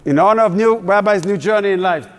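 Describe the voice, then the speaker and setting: A man's voice: high-pitched, quick pace. A man emceeing a live fundraising appeal in a synagogue hall, coaxing and playful with the crowd. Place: synagogue hall at an evening event.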